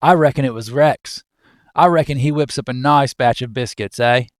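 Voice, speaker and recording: A man's voice: medium pitch, normal speed, no echo, studio quality, natural voice. Man doing a cheeky, slangy Australian mate. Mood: teasing. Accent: attempted Australian. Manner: matey, teasing, slangy. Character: cheeky, nosy, square-jawed Australian male office mate.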